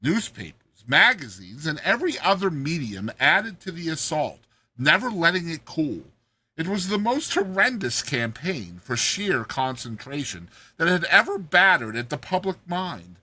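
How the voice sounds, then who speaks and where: A man's voice: fairly high pitch, very animated, a little slow, pushed and bright, breathy edge. A man narrating a short story as a dramatized audiobook reading, with theatrical, emphatic delivery.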